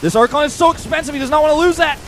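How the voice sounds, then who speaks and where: A young man casting a strategy game live, excitable and rising sharply when the fighting gets big. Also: very high-pitched, racing. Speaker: esports caster. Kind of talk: play-by-play commentary. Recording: commentary over loud game music.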